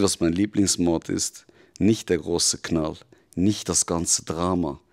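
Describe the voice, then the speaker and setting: A cynical devil speaks in a very deep, unhurried voice, as a man acts him out, confiding rather than shouting. He is measured and intimate, with a soft menace under every word. It plays like a scripted dramatic monologue. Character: calm confiding male devil, cynical, mocking.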